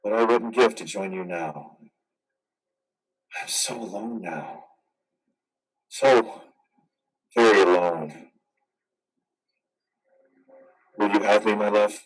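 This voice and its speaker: A man's voice: lowish voice, takes it slowly, pauses often, breathy edge, distant mic, low-quality recording. A man playing an anguished, vengeful war veteran in a dramatic play reading. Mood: grieving, tender. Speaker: amateur actor.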